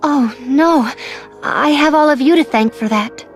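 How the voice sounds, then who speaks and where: A woman voicing an earnest, remorseful young female soldier in an English game dub, with a soft, apologetic, formal manner. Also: sits high, a little slow, faint music behind.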